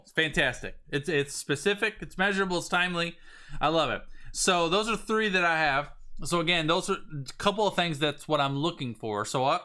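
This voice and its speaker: A man, slightly raspy today, coaching viewers on a live stream in an energetic way, often restarting his phrases. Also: medium pitch. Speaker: business coach.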